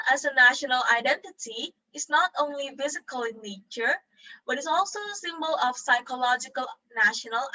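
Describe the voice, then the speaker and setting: A woman's voice: high voice, sharp tone, distant mic, poor audio. A woman from Indonesia giving a civics lecture over slides, explaining patiently and repeating the key terms for her students. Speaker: civics teacher.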